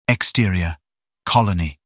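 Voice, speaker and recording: A man's voice: sits low, measured pace, no room sound, studio quality. A man narrating scene directions for an audio drama in a scripted style.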